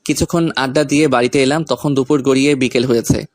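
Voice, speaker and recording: A man's voice: mid-range voice, steady tone, fast talker. A man reading a scripted first-person story, narrating events and speaking the lead character's lines.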